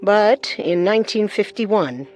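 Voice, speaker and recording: A woman's voice: medium pitch, expressive, slow pace, darkish tone, faint music behind. A woman co-hosting a true-crime show with scripted narration. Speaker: co-host, narrator.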